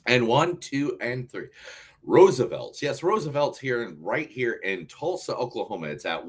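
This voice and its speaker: A man's voice: mid-range voice, very animated, moderate pace, slightly rough. An American man co-hosting a podcast in casual banter, blunt, jokey and sweary. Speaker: podcast co-host.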